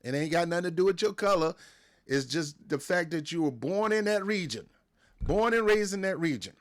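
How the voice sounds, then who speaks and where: A man's voice: high voice, lively intonation, normal speed. An American man hosting a wrestling show, ranting in a casual, emphatic way, repeating himself and restarting his words. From United States. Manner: emphatic, repetitive, stumbles and restarts. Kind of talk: casual opinion rant to camera.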